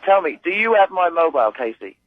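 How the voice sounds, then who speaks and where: A British man on a dull, lo-fi phone line. His voice is high for a man and husky, and he speaks in blunt, exasperated slang. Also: sing-song, fairly quick. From Britain. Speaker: prank call victim, phone caller. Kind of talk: informal phone conversation. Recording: muffled lo-fi telephone line.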